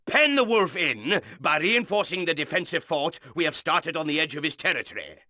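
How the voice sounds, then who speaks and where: Higher-pitched, commanding nobleman. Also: very animated, normal speed, bright, forceful tone, no room sound, clean studio sound, heavily acted. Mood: cautioning. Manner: earnest, formal, authoritative. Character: stern, commanding male noble military ally giving orders. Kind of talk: video game mission briefing, dramatic acting.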